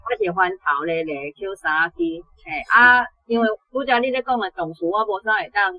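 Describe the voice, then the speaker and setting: A woman's voice: mid-range voice, big pitch swings, normal speed, sharp tone, poor audio. A woman with a Taiwanese accent giving unscripted explanations and corrections as a language teacher.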